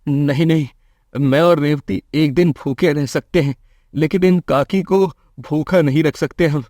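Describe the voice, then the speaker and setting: A man voicing a kind, selfless, poor village husband in a cartoon moral story, earnest and gentle. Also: a little high, a little slow, no room sound, studio quality, natural voice. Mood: hesitant, kind.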